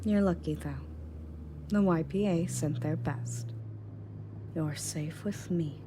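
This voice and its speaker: A woman's voice: low voice, slow. A woman playing a confident, matter-of-fact rescue agent in an audio roleplay, speaking conversationally to the listener. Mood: reassuring, businesslike. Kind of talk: scripted audio roleplay, in-character monologue to listener. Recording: clearly audible background noise and ambience.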